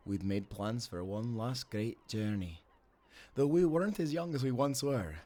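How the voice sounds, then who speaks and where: An actor playing a devoted, seasoned old sailor in an audio drama, speaking as an earnest storyteller with old seafaring turns of phrase. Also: male voice, lowish voice, a little mellow. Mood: wistful, solemn. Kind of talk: cinematic audio drama acting.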